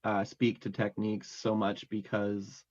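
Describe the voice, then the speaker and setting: A man's voice: lowish voice, completely flat delivery, measured pace. A man chatting casually as an interview guest about his music, rambling and full of fillers. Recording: dull lo-fi audio.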